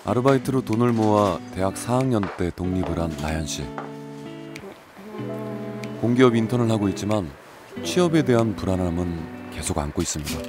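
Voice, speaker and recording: A man reading scripted documentary narration in a calm, measured way. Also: on the low side, music in the background.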